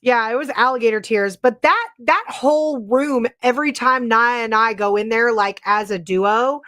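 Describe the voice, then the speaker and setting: A middle-aged woman hosting a podcast, talking in a chatty, animated way with lots of filler words. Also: on the high side, moderate pace, dry recording, clean studio sound. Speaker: podcast host. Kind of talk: casual paranormal chat.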